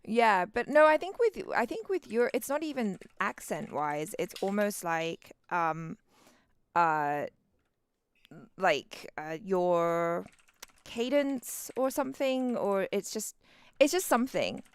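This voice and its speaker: A woman's voice: mid-range voice, lively intonation, takes it slowly, clear, resonant tone, no room sound. A woman from Thailand and England chatting casually as a co-host, hesitantly feeling for the right word. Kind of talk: casual chat.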